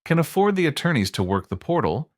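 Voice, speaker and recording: Man narrating, lively swings in pitch. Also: quick pace, no room sound, studio quality. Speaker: narrator of an explainer show. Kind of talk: scripted explainer narration.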